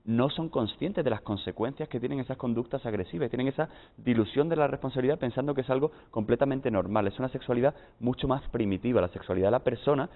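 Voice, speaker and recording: A man's voice: medium pitch, fast talker, few pauses. A man from Spain with a Spanish accent, a guest psychologist explaining his points on a TV panel, often tagging "¿no?". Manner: explanatory, lists points with frequent "¿no?". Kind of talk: TV panel discussion. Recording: tinny lo-fi broadcast audio.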